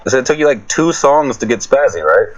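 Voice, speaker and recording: A man's voice: medium pitch, expressive, fast talker. A man chatting casually as a panelist in a fan Q&A, matter-of-fact with dry teasing. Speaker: musician panelist answering fan questions. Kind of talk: casual group chat. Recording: dull lo-fi voice chat audio.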